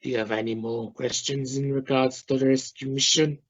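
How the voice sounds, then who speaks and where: A man's voice: mid-range voice, flat delivery, lightly acted. Dull-sounding, brusque scientist, acted by a man. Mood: curt, firm. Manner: formal, measured, slightly halting phrasing. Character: brusque male Russian space scientist and mission captain. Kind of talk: scripted radio play interview. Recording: dull lo-fi recording.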